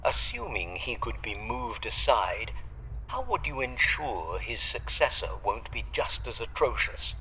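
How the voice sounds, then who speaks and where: A man's voice: medium pitch, very animated, measured pace, bright, forceful tone, full character voice. A man playing a loyal, wry butler in an audio drama, formal and sardonic, heard through a radio filter. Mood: dry, wry. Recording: band-limited radio link with noisy hiss.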